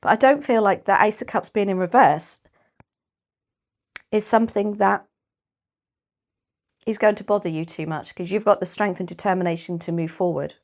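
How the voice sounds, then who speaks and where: A woman with a British accent giving a casual tarot reading, chatty and rambling, her voice a little croaky and often clearing her throat. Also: medium pitch, moderate pace, long pauses. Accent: British English. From United Kingdom. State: slightly croaky voice. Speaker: tarot reader.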